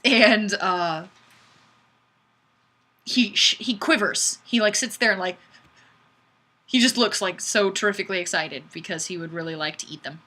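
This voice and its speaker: A woman hosting a casual live stream, chatting and reading comments in a goofy, animated, exclamatory way. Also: medium pitch, moderate pace, pauses often. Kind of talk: casual chat, reading viewer comments aloud.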